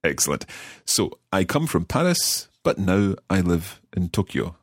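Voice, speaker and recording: A man hosting a language podcast lesson, speaking clearly and patiently and repeating phrases slowly. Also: low voice, big pitch swings, dry recording, studio quality. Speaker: language course host. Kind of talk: scripted podcast lesson chat.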